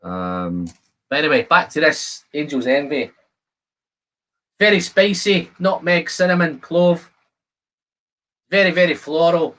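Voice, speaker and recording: Higher-pitched Scottish man in his fifties. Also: expressive, a little slow, long pauses, fairly bright tone. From Scotland. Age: 50s. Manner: chatty, addresses viewers by name. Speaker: host of a whisky live stream. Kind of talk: casual live-stream chat to viewers.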